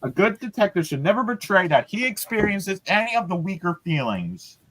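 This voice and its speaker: A man reading character lines in an amateur dramatized read-aloud, on a quiet, distant-sounding mic. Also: sits high, sing-song, a little fast. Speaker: co-reader taking character lines.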